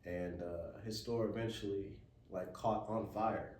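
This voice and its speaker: Man, lowish voice, American accent, slangy relaxed storyteller, halting delivery, echoey room. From born in Memphis, USA. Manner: laid-back, slangy, halting with pauses. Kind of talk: casual podcast storytelling. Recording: roomy, distant mic, faint background noise.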